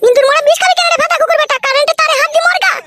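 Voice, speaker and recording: Shrieking, furious cartoon wife. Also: female voice, extremely high pitch, rapid-fire, heavy background noise. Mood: shrill fury. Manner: scolding, cursing. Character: shrill, furious, foul-mouthed young cartoon wife. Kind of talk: comedy cartoon dubbing.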